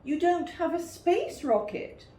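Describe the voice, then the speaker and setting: A woman's voice: very high-pitched, very animated, takes it slowly, mellow, voice pushed up, heavily acted. A woman voicing a doubtful giraffe who cautions a friend in a storybook reading. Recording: roomy, distant, noisy recording.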